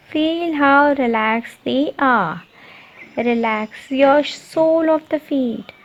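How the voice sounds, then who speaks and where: A woman leading a guided meditation, giving repetitive, soothing instructions with deliberate pauses. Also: fairly high pitch. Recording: muffled lo-fi, noisy, birdsong behind. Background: birdsong and ambient nature sounds.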